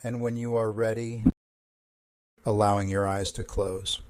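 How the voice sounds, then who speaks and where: A man leading a guided meditation as a counselor, speaking in a calm, measured, gentle instructional way with pauses. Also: fairly low pitch, smooth, dry recording.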